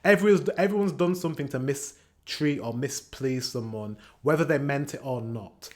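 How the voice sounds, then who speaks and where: A man's voice: medium pitch, normal speed, a little mellow. British male reaction host, mid-range voice, casual, chatty and opinionated. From England. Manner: chatty, acts out example exchanges.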